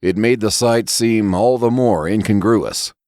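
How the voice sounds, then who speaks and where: A man reading scripted fiction as a narrator, in a deliberate, expressive storytelling manner. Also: no echo, clean studio sound. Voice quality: low-pitched voice. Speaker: story reader and podcast narrator.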